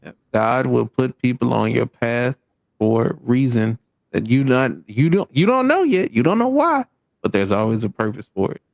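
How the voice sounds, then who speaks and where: A man's voice: medium pitch, very animated, moderate pace, gravelly, dry recording. A man from Arkansas with a Southern American accent, chatting casually and a little ramblingly as a streamer being interviewed. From Arkansas, United States. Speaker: guest, video game streamer.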